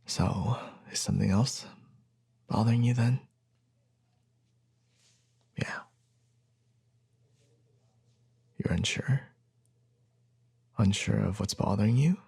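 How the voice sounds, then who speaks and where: A man playing a gentle, reassuring young boyfriend in an intimate ASMR roleplay, speaking softly and tenderly, often close to a whisper, with hesitant pauses. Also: fairly low pitch, heavily acted. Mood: gentle, sympathetic. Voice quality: soft, breathy, husky, often near a whisper.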